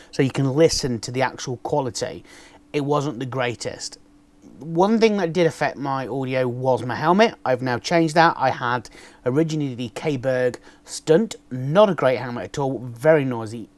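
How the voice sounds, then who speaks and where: A man, casually chatting through an unboxing, with a naturally loud voice and a rambling way of talking full of ums. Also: mid-range voice, no room sound. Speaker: motovlogger reviewing gear. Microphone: external microphone on a camera.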